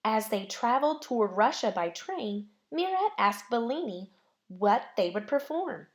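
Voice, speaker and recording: Slow, expressive woman reading a storybook. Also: a little high. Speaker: storybook reader. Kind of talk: read-aloud narration of a children's picture book.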